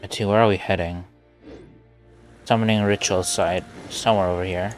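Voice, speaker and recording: A man's voice: low-pitched, steady tone, moderate pace, soft background music. A man doing casual live game commentary, muttering to himself as he plays. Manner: muttering to himself while playing. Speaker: game streamer.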